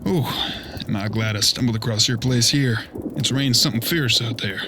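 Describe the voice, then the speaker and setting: A man's voice: medium pitch, normal speed, noisy background, lightly acted. A man playing a folksy, polite frontier detective in a western audio drama, with plainspoken country phrasing. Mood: polite, easygoing. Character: folksy, easygoing young frontier detective riding alone.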